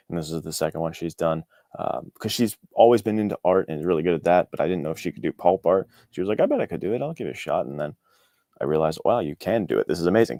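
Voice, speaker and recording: A man's voice: sits low, darkish tone, no room sound, clean studio sound. A man talking casually as an interview guest, explaining things in an enthusiastic, informal, rambling way. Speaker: guest author.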